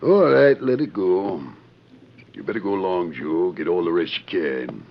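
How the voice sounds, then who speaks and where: A low, gravelly crooked Western sheriff. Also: male voice, normal speed, slight background noise. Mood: stern, commanding. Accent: American. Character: crooked, bullying Western sheriff, secret gang killer. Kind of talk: 1940s radio Western acting. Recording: dull 1940s radio recording.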